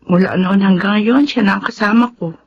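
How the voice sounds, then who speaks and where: A woman's voice: medium pitch, fairly quick, lightly acted. An actress playing a grieving, grateful woman in a Filipino radio drama, speaking in a sincere, heavy-hearted way. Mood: weary, sorrowful. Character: grieving, grateful adult woman, Remedios, orphaned landowner. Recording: dull radio recording with background noise.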